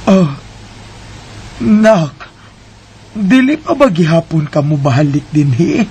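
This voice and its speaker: A man voicing a worried middle-aged mother who pleads gently with her son in a radio drama. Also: on the high side, sing-song, takes it slowly, background noise, voice pushed up, full character voice. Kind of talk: homemade radio drama acting.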